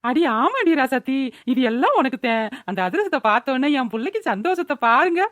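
A woman's voice: high voice, big pitch swings, a little fast, fairly bright tone, no echo, studio quality. A woman with a rural Tamil Nadu accent voicing a nagging but doting older village mother in a cartoon. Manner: nagging, colloquial, exclaiming. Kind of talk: Tamil cartoon voice-over acting.

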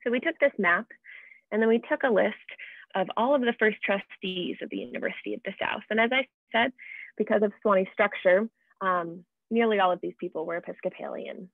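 Creaky-voiced American woman presenting. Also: medium pitch, fairly quick, no echo. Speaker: project presenter. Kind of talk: informal slide presentation. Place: indoors at home, on video call. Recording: muffled, band-limited video call audio.

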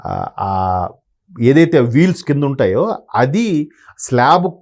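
A man's voice: mid-range voice, big pitch swings, slow, a little mellow. A man speaking as an analyst guest, talking in a rambling, sombre way with many restarts. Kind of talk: conversational commentary.

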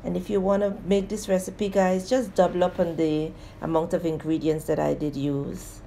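Woman with a low, mellow voice walking through a recipe. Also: normal speed, gravelly, a little hiss or hum. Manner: plain, steady instructional tone addressing viewers as guys. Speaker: home cooking show host. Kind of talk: step-by-step recipe narration.

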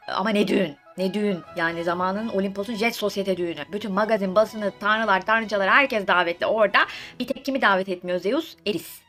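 A woman tells a story like juicy gossip, with music playing behind her. Her voice sits at a middle pitch and she talks briskly, in casual slang. She is theatrical and sarcastic, throwing in mock-indignant asides and rhetorical questions. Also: pushed and bright. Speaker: storyteller host of a comedic mythology series. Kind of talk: comedic casual storytelling, retelling myths in slang. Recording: voice over background music.

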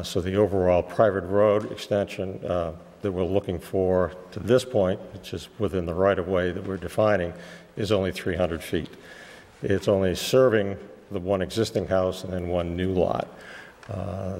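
A man with a cold, losing his voice, presenting a technical site plan to a planning board in a measured way with many "uh" fillers. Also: deep voice, darkish tone, long reverb tail. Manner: measured and explanatory, with frequent "uh" fillers. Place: town meeting room.

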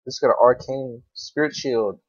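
A man's voice: medium pitch, moderate pace, dry recording. A young man giving casual, unscripted game commentary, rambling to himself in slang with some swearing. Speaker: gaming video creator. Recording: dull, lo-fi microphone.

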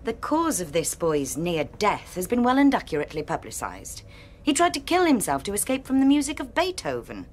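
Lilting, clinical doctor. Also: female voice, mid-range voice, huge pitch swings, a little hiss or hum. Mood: cool, clinical. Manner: precise, measured, clinical. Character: brisk, clinical female research doctor, coolly authoritative. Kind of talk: radio drama acting.

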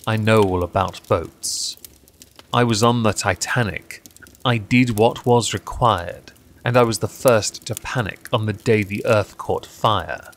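A man reading poetry aloud in a measured, dramatic style, with deliberate, theatrical phrasing and pauses. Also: lowish voice. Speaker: poetry reader and channel host. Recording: clear background noise.

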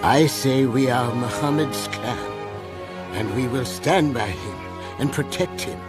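A man playing a dignified, loyal old Arabian clan elder in an animated film, grave and measured. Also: mid-range voice, over loud music, lightly acted. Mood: resolute.

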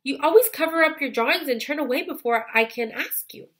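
Woman voicing an eager, high-pitched young schoolboy. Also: brisk, fairly bright tone. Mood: earnest. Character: friendly, eager young schoolboy who loves fish.

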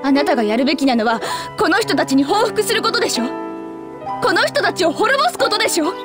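A woman's voice: very high-pitched, animated, quick pace, over music. An actress playing a bitter, grieving young girl in a Japanese video game, speaking in cold, accusing, formally polite sentences. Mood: accusing, angry.

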